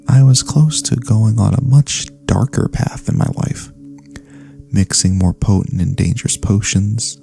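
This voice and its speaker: A man playing a gentle elf cleric in a soft-spoken ASMR fantasy roleplay, speaking in a soothing, courteous, slightly formal way. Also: on the low side, vocal fry, husky, exaggerated voice. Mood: earnest, reflective. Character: gentle, caring male elf cleric healer, pacifist. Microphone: close studio USB microphone.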